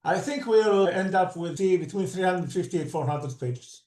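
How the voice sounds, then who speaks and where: A man's voice: high voice, lively intonation, moderate pace, darkish tone. Israeli man with an echoey sound. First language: Hebrew. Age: middle-aged. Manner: earnest, restarts and rephrases while explaining. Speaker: book co-author and security expert. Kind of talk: explanatory interview answers. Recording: roomy echo.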